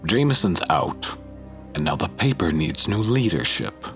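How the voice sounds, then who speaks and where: A man playing a powerful, menacing business boss in a comic dub, speaking in a measured, formal, pompous way. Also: low voice, animated, faint music behind. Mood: smug menace. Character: powerful, menacing male crime-boss newspaper owner.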